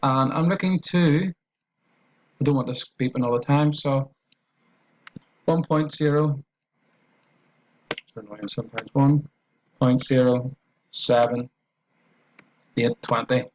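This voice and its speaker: A man's voice: medium pitch, slow pace, long pauses, a little mellow, highs cut off, slight background noise. A man with an Irish accent talking through a trading tutorial on screen, in a loose, repetitive way with fillers and restarts. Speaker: trading educator.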